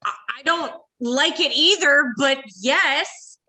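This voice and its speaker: A woman's voice: high voice, takes it slowly, pushed and bright, heavily acted. A woman playing an anxious but practical female friend, earnest and hesitant, in a comic script reading. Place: remote video call.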